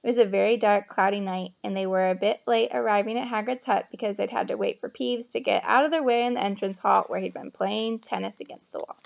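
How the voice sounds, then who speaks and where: Female storyteller, animated and explanatory, teacher-like, with noticeable creak and dull recording. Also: mid-range voice, fast, few pauses. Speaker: teacher reading a children's novel aloud. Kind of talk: expressive read-aloud with chatty asides to children.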